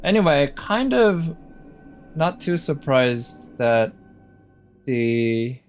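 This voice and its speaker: A man giving casual, unhurried live commentary as a game streamer, musing aloud as he goes. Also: mid-range voice, big pitch swings. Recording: game music behind the voice. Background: game music.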